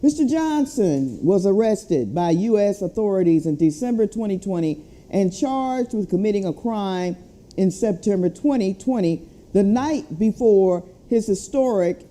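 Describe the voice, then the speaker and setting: A woman speaking as an advocacy leader, giving a formal update and reading a letter aloud in a deliberate manner. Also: mid-range voice, expressive, dark tone. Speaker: civil rights chapter president.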